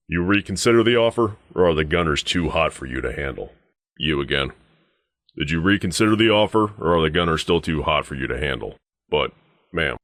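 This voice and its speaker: An actor playing a stern, grim wasteland deputy sergeant in a video game, speaking in a terse, measured, guarded military way. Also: male voice, rumbling low, fairly bright tone, no echo, studio quality. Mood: gruff, commanding. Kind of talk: scripted video game dialogue.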